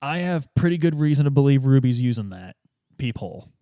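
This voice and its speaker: A man's voice: medium pitch, sing-song, brisk, warm tone, clean tone, no echo, clean studio sound, natural voice. A man playing a dogged, exasperated police detective in a tabletop game, blunt, insistent and informal.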